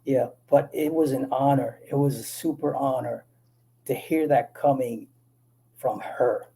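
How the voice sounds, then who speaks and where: A man from the Dominican Republic, an actor guest, telling animated stories in a casual interview with many restarts. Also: medium pitch, a little slow, gravelly.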